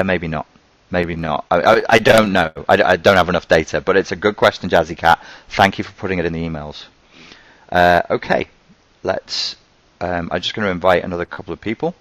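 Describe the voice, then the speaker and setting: A man with a very low voice and a softened Scouse accent hosts a live question-and-answer stream. He talks in a loose, conversational way, thinking aloud as he goes. His voice sits close and dry, with no room around it. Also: no echo. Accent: toned-down Scouse. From Merseyside, England. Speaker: host answering viewer questions.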